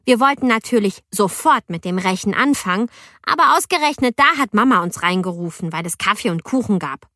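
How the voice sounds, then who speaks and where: A woman's voice: mid-range voice, brisk, no room sound, studio quality. A woman voicing an indignant ten-year-old girl who narrates her misadventures expressively in an audiobook. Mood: exasperated, lively. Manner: expressive, youthful, exasperated. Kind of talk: audiobook first-person narration.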